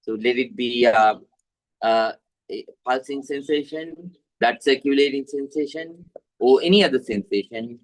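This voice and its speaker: A man with a Sri Lankan accent, a Buddhist monk teaching meditation to an online group in a halting, deliberate way. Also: mid-range voice, rough recording. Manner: halting, deliberate, repetitive phrasing. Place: village temple in Sri Lanka, over video call.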